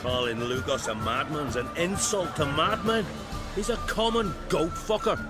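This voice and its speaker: A man's voice: on the high side, normal speed, close to an everyday voice. An actor playing a stern, proud male chieftain who speaks bluntly and commandingly in a game cutscene. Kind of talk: video game cutscene acting. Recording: game cutscene with loud score. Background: loud orchestral music.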